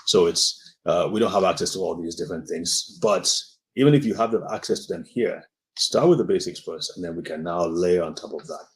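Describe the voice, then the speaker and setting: A man's voice: low voice, a little fast. A man from Nigeria, a doctor, giving an earnest live-stream health talk and answering viewer comments, often repeating words as he explains.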